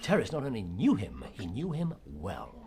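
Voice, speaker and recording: A sharp, sardonic detective in Victorian London. His pitch swings widely as he probes and needles. He speaks as a figure in a radio play. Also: wildly swooping pitch, normal speed. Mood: probing, incisive. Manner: incisive, teasing, probing. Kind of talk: radio drama acting.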